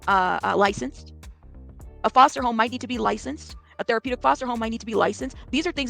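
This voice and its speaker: A woman's voice: fairly high pitch, gravelly, a bit bright. A woman with an American accent giving informal, emphatic commentary as a host, bluntly explaining things to her audience with rhetorical questions and checks like okay and right.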